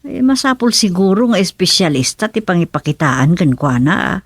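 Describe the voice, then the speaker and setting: A woman's voice: lowish voice, big pitch swings, brisk, slightly rough, dry recording, exaggerated voice. A woman playing a worried village mother with an Ilocano accent in a radio drama. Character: worried village mother fretting over a sick child.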